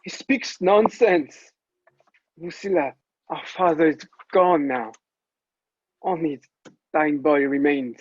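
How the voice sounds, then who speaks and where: A man's voice: on the high side, slow pace, long pauses, slightly rough, no room sound, muffled recording. A man playing an intense, impatient Roman emperor's son in a drama table read, with earnest, theatrical delivery. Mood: commanding, impatient.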